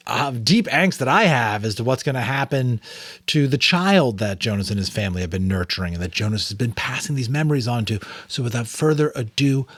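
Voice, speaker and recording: A man reading a story aloud dramatically as host, in an expressive, theatrical manner with casual asides. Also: medium pitch, breathy edge, dry recording. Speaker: audiobook reader and host.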